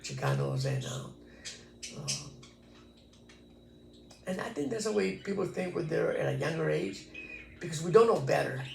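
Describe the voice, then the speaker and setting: A man's voice: on the high side, lively intonation, moderate pace, vocal fry, long pauses, a little mellow, reverberant, a little hiss or hum. A man in his sixties from Texas, telling stories from his past in a reflective, earnest interview. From Texas, USA. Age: 60s. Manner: reflective, earnest storytelling with many restarts. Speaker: interviewee, former pachuco. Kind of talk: casual recorded interview.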